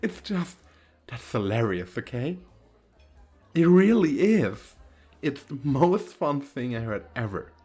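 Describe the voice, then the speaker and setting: Man acting a coy young femboy, effeminate lilt, very animated pitch, unhurried, teasing, ASMR roleplay, music behind. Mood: amused.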